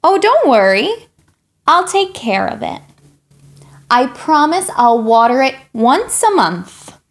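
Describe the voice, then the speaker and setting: Woman acting a high, melodramatic, pleading pigeon. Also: big pitch swings, slow pace, heavily acted. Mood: eager pleading. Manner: dramatic, whiny, exclamatory. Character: excitable, pleading, melodramatic young pigeon wanting its way. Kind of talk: children's picture book read-aloud.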